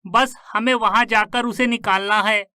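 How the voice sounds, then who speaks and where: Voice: mid-range voice, fairly even intonation, a little slow, sharp tone, smooth, no room sound, studio quality. An actor voicing a greedy, scheming male swindler in a cartoon story, with a gloating, sneering delivery. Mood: enticing, greedy.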